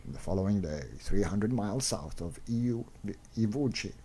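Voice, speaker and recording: A man's voice: fairly low pitch, lively intonation, normal speed, warm tone. A man reading a comic book story aloud as narrator, stumbling over unfamiliar names, in a slightly noisy recording.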